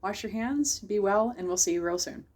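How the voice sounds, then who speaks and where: A woman's voice: mid-range voice, lively intonation, normal speed, background noise. A woman hosting a children's storytime, reading a picture book aloud and chatting directly to young listeners. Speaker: library storytime host.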